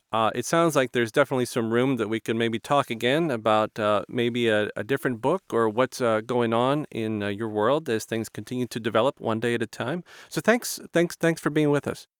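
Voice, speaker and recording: A man hosting an interview show in a conversational, somewhat halting and rambling way, often repeating words. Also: mid-range voice, clear, resonant tone, no room sound, clean studio sound. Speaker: host interviewer.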